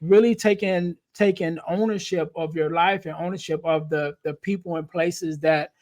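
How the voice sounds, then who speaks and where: A man's voice: on the high side, fairly even intonation, moderate pace, darkish tone. A man in his late forties hosting a podcast interview, talking in a loose, rambling way full of "you know.". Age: 40s. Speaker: podcast host. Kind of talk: casual interview chat.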